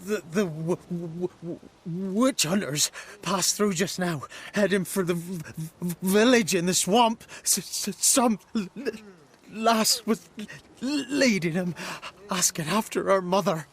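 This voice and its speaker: A man playing a frightened, deferential peasant in a video game, speaking in a nervous, halting rustic way with a stammer. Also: high voice, a little hiss or hum. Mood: cowed, nervous. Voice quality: heavy stammer.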